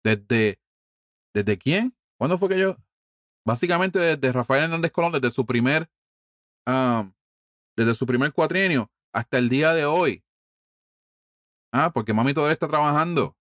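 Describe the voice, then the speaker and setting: A man's voice: fairly high pitch, animated, measured pace, long pauses, a bit bright, no echo, clean studio sound. Profane ranting Puerto Rican man. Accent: Puerto Rican Spanish. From Puerto Rico. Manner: profane, ranting, rhetorical questions. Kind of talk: heated political monologue.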